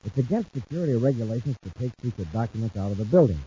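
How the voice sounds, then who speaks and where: Glib, wheedling schemer, male actor, American, animated intonation, muffled fifties radio serial sound. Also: medium pitch, rapid-fire, lightly acted. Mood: conniving. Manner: smooth-talking, wheedling. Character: sly, needling crooked younger man, glib schemer. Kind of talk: 1950s radio serial acting. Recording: muffled, hissy 1950s radio recording.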